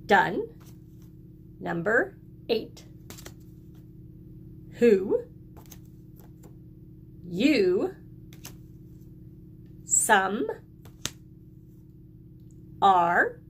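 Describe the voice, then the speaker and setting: A woman's voice: mid-range voice, very animated, extremely slow, pauses often, a little hiss or hum. A woman teacher giving a lesson to young children, encouraging them in simple phrases and prompting them to repeat after her. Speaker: early elementary school teacher.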